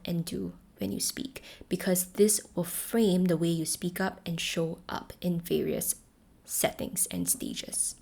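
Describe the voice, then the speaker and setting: A young woman from Singapore with a Singaporean English accent, hosting a solo podcast as a voice coach, speaking clearly and encouragingly. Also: lowish voice. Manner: clear, encouraging, shifts between firm and gentle tones.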